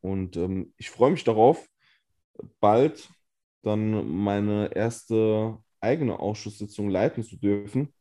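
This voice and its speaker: A man's voice: lowish voice, slow, dark tone. A man, a state parliament member and committee chair, earnestly explaining his work step by step in a casual podcast, recorded remotely. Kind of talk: casual political talk.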